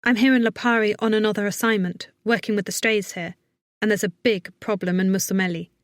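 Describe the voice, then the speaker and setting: A woman's voice: medium pitch, steady tone, brisk, dry recording, studio quality, natural voice. A woman voicing a worried but capable woman vet in an audiobook, giving firm, urgent, reassuring instructions. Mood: brisk, urgent. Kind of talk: audiobook character acting.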